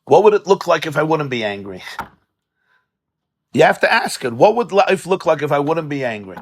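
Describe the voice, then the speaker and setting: Impassioned rabbi teaching a class. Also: male voice, fairly high pitch, sing-song, moderate pace. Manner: impassioned, conversational, repeats words for emphasis; sprinkles Yiddish and Hebrew terms. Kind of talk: informal religious lecture to a live group. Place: study hall.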